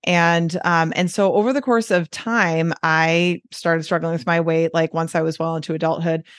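A Midwestern American woman in her forties talks easily as a podcast guest. Her voice sits fairly low, and it is recorded very dry and close. She chats along, dropping in fillers and small laughs. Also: fairly even intonation, moderate pace, no echo. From United States. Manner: chatty, with frequent fillers and light laughs. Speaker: guest, weight loss coach. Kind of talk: casual podcast conversation.

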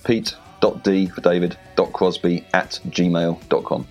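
A Welsh man with a very low voice talks plainly and with confidence as a podcast guest. He gives firm, practical advice, and faint music sits behind him. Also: steady tone, unhurried. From Wales. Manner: direct, confident, plain-spoken. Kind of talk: interview advice. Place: home in rural Wales.

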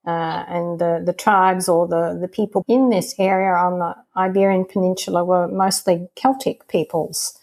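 A woman hosting a podcast, reading a measured, formal introduction and then asking questions in a friendlier tone, recorded over a phone call. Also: lowish voice, little pitch movement, a little mellow. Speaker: podcast host. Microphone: phone over a messaging-app call.

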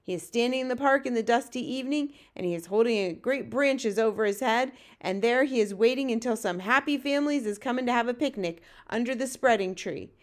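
A woman voicing a gentle, earnest giant in a children's storybook, explaining things in quaint, muddled grammar. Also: on the high side, fairly quick, few pauses, lightly acted.

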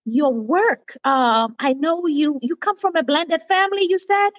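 A woman in her forties hosting an interview show, friendly and halting with many fillers. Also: high-pitched, no room sound, dull audio. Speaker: podcast host.

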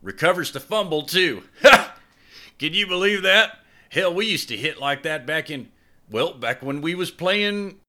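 A man's voice: mid-range voice, normal speed, pushed and bright, voice pushed up. A man voicing a big, downcast young ex-player who talks offhandedly in an audiobook. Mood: distracted. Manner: casual, offhand, slangy.

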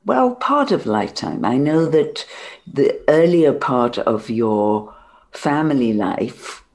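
Slow-paced woman interviewer. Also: very deep voice, sing-song, a little mellow. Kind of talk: conversational interview.

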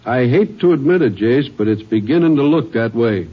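An actor playing a folksy, sympathetic American small-town sheriff, plain-spoken, in an old-time radio western. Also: male voice, mid-range voice, animated, moderate pace, slightly rough. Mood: regretful. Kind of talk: 1950s radio western acting. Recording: muffled, noisy 1950s radio recording.

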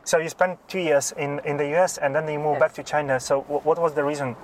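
A man's voice: on the high side, steady tone, fast, crowd noise. A man hosting an interview show in a conversational way, introducing and questioning as he goes.

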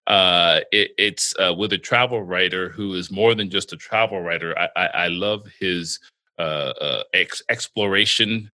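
Very bright-voiced, low-pitched male podcast host. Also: fairly even intonation. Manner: joking, halting with many fillers and restarts. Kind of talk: casual podcast banter.

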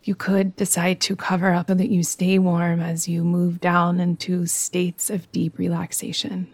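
A woman guiding a yoga nidra meditation in a soothing, gentle, unhurried manner. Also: lowish voice, monotone, husky, no room sound. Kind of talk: guided meditation.

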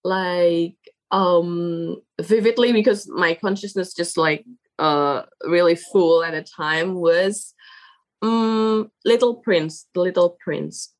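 A young woman guest in a casual interview, speaking English as a second language with Indonesian as her first. Her voice is fairly low, and she talks slowly. She stops often to hunt for words and fills the gaps with fillers. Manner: halting, searching for words with many fillers.

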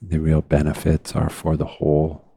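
A man leading a guided meditation in a measured, deliberate way, leaving long pauses between phrases. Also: a bass voice, completely flat delivery, creaky, dark tone, breathy edge, no echo. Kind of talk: unscripted guided meditation talk.

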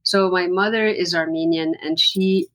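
A woman from Lebanon speaks as a musician guest in a conversational interview. Her voice sits slightly low, and she talks in an earnest, reflective way, often restarting her sentences. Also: steady tone, normal speed, a bit bright, poor audio.

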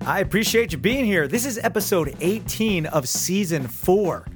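A man's voice: high-pitched, expressive, moderate pace, soft background music. A man hosting a business podcast, upbeat and chatty, interviewing a guest over a remote call with many friendly restarts. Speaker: podcast host. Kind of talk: casual interview chat.

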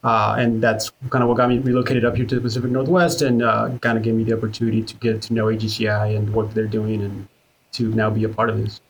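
A man, speaking in a relaxed way with frequent fillers as an interview guest. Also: lowish voice, fast, low-quality recording. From Ecuador. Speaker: childcare worker guest. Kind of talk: podcast interview.

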